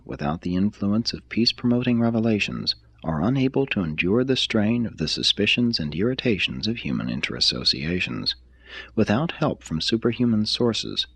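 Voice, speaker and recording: A man reading a written text aloud like an audiobook, in a measured, formal, deliberate manner. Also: deep voice, a bit bright, breathy edge, no echo. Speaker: reader of a text. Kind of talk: scripted reading, audiobook-like narration.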